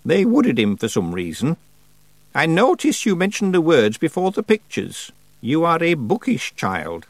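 A man's voice: a little high, huge pitch swings, no echo, pitched above the performer's own voice, heavily acted. A very old witch, stern and solemn, weighs every word as she lectures, voiced by a man reading a storybook. Her voice sits well above his own. The sound is dry and close. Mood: dry, tart. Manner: slow, severe, lecturing.

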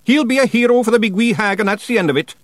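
A man's voice: sits high, big pitch swings, moderate pace, no room sound, clean studio sound, pitched above the performer's own voice. A man voicing a small, commanding fairy clan leader with a Scottish accent and emphatic, rousing dialect for an audiobook. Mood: sharp, commanding.

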